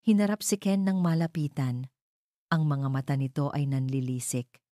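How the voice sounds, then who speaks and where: Low, mellow woman narrating drama. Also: normal speed, no room sound, clean studio sound. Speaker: story narrator.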